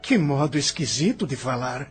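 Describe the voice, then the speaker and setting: A man's voice: medium pitch, expressive, normal speed, breathy edge, soft background music. A man playing an agitated, ailing immigrant in an audio drama, theatrical and impassioned, embittered by hardship. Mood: bitter, puzzled. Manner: theatrical, impassioned, swinging from faint to outcry.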